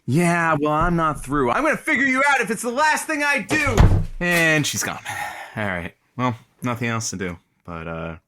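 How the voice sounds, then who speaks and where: An actor playing a petty, mocking radio producer and announcer in a comedic audio drama, with halting patter and theatrical outbursts. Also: male voice, fairly high pitch, huge pitch swings, noisy background. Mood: defiant.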